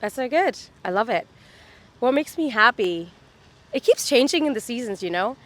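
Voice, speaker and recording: A woman's voice: a little high, expressive, normal speed. A woman in her thirties from Dubai, chatting upbeat as a street interview guest, with many fillers. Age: 30s. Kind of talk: casual chat. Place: outdoors on a city street.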